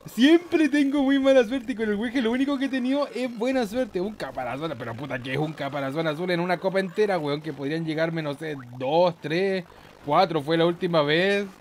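A man's voice: high-pitched, a little fast, a little hiss or hum. A man giving casual, slangy, mock-boastful gaming commentary with some swearing. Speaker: gameplay host.

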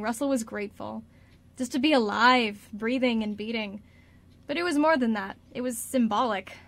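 A woman narrates a radio drama from a script. Her voice sits slightly on the high side as she tells the story between the characters' lines.

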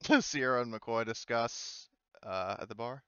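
A man's voice: mid-range voice, measured pace, fairly bright tone, smooth, no room sound, dull audio. A man running a tabletop game as game master, casual and easily amused. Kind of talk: casual game narration and chat.

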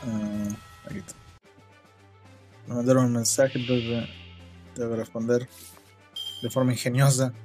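A man's voice: medium pitch, level tone, a little slow, long pauses, darkish tone, soft background music. A young man with a Chilean Spanish accent, chatting casually and joking as he reads chat messages during a game stream.

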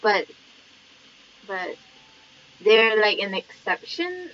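Slow, rambling woman game streamer. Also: medium pitch, very animated. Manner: rambling, hesitant, thinking aloud. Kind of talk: casual gameplay commentary. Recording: dull lo-fi mic, light noise.

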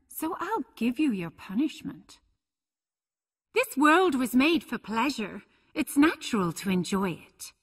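A seductive succubus from a fighting game, high-voiced and very slow to let her words go. She teases and flirts with playful menace, her pitch swooping freely. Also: breathy edge, exaggerated voice. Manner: sultry, flirtatious, drawn-out delivery. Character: seductive, playful, teasing succubus demon woman. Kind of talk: video game fighting character voice clips.

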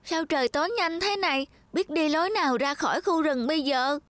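A woman's voice: high voice, a little fast, a bit bright. A woman voicing an eager, easily scared little boy novice monk with a childlike, pleading manner in a cartoon fairy tale. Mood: frightened. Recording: music behind the voice.

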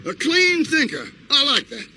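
An actor playing a brash, folksy middle-aged Texan property tycoon, drawling and boisterous, in a video game cutscene. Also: male voice, very high voice, sing-song, a little slow, creaky, pushed and bright, a little hiss or hum, exaggerated voice. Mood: persuasive, folksy. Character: brash folksy cowboy-hatted property tycoon, hearty and scheming.

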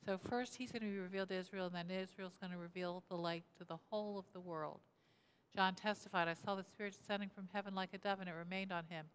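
Woman pastor in her sixties, smooth voice, interactive sermon at a lectern in a large echoing sanctuary. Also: mid-range voice, steady tone, fast talker. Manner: asks the congregation questions and repeats their answers. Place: church sanctuary, indoors, at a lectern. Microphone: lectern mic, camera at mid distance. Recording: reverberant church hall.

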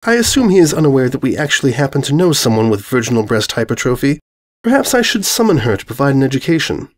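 A man's voice: mid-range voice, sing-song, fast, pitched above the performer's own voice, lightly acted. A man voicing a stern, haughty pony princess who speaks formally and with sarcasm in a storybook reading. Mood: sarcastic.

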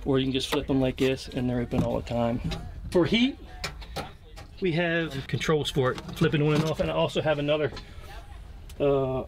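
A man's voice: medium pitch, normal speed, long pauses. An American man in his fifties giving a casual, unscripted walkthrough in a plain-spoken, hesitant way, recorded inside a small camper trailer. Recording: clearly noisy location sound with clicks.

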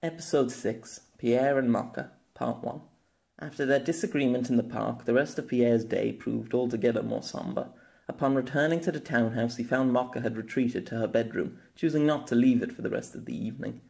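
Guy narrating an audio novel, mid pitched voice, scripted storytelling. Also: normal speed, creaky, darkish tone.

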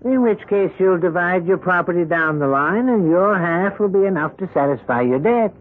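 An actor playing a smooth, menacing bookie with a coolly insistent, politely threatening manner in a 1970s radio drama. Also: male voice, high-pitched, expressive, normal speed. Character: smooth, menacing male bookie and debt collector. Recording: tinny band-limited old radio broadcast.

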